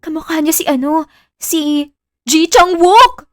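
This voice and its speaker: Woman playing a high-voiced, starstruck hospital staffer. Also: animated, takes it slowly, no room sound, clean studio sound, full character voice. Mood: swooning. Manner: breathless fangirl gushing, exclamatory. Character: starstruck, gushing young female hospital staffer. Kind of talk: Filipino audio drama acting.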